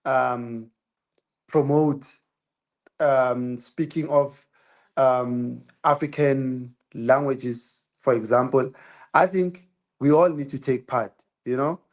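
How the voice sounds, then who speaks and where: A man's voice: mid-range voice, fairly even intonation, slow. A man speaking on a panel discussion, thoughtful and hesitant, with many fillers.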